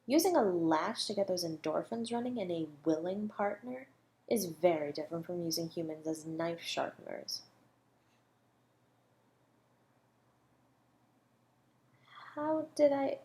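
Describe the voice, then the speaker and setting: A suave, flirtatious female murder suspect played by a woman in an audio roleplay. She is mocking and condescending, stretching words theatrically and slipping into breathy asides as she toys with her listener. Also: fairly low pitch, a little mellow, slight background noise. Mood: cool, evasive. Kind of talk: scripted audio roleplay monologue.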